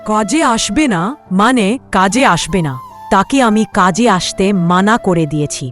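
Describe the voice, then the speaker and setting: A woman's voice: medium pitch, animated, a little slow, a bit bright, soft background music. A woman voicing a domineering older mother-in-law in a cartoon, bossy, cutting and condescending. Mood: scornful, scolding. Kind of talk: dramatic Bengali cartoon voice acting.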